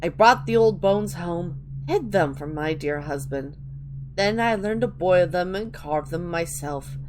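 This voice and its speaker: A woman voicing an eerie, wistful old granny witch who rambles slowly in a dramatic reading of video game lore. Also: on the low side, animated, noisy background. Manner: slow, wistful rambling with drawn-out endearments.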